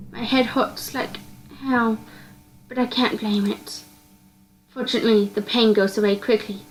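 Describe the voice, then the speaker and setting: A woman's voice: on the high side, rough recording. A woman reading scripted narration from a visual novel aloud, occasionally stumbling and repeating words. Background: clear background music.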